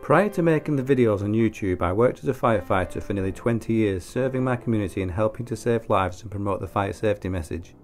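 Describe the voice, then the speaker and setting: A man's voice: on the low side, animated, fast, hardly any pauses, a little mellow. A man in his forties, host of a woodworking channel, giving a plain, matter-of-fact scripted voice-over that is openly self-critical about his work. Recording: voice-over with faint music behind.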